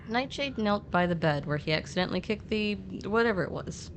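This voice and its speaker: A woman's voice: mid-range voice, animated, a little fast. A woman narrating a scripted fantasy story podcast, with conversational asides. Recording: background music under voice.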